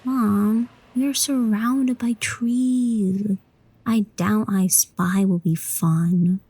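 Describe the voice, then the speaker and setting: A woman's voice: medium pitch, fairly even intonation, drawn-out words, dark tone, breathy edge, no echo, exaggerated voice. A woman voicing an eager, curious young schoolgirl in an audio drama. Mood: mildly whiny. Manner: eager, childlike. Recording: music under the voice. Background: music.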